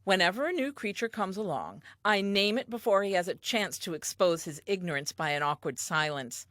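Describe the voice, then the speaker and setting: An actress playing a talkative, eager and self-assured first woman telling her diary in a comic audio play, earnest and expressive. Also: on the low side, no room sound, clean studio sound.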